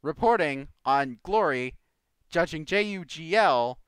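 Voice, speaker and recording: A man's voice: fairly high pitch, lively intonation, measured pace, a bit bright, clear, resonant tone, no room sound. A man hosting a live Q&A podcast in a casual, informal way, reading out questions and commenting loosely. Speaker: host of a live community Q&A show.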